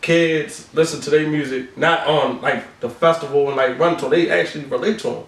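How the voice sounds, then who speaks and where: A man's voice: a little high, steady tone. Laid-back man musing in a roomy space. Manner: laid-back, reflective, halting. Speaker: podcast co-host. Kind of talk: casual group chat. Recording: roomy sound, mic at a distance.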